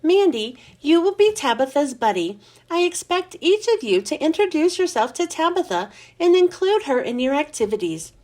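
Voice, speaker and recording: A woman voicing a kind but firm female schoolteacher who gives brisk classroom instructions in a lifted voice. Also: high voice, big pitch swings, a little mellow. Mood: firm, kindly.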